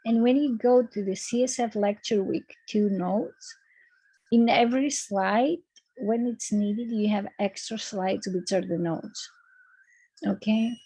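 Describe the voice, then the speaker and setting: A woman lecturer with a Greek accent giving online class announcements, repeating words and filling pauses with um and okay. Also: mid-range voice, warm tone, husky, no echo, rough recording. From Greece. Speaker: university lecturer. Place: recorded video call. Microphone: computer mic on a video call.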